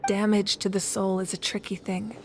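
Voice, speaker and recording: An actress playing a calm, compassionate leader in a video game, measured and authoritative. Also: female voice, medium pitch, noisy background, lightly acted. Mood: calm, reassuring. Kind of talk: dramatic video game voice acting.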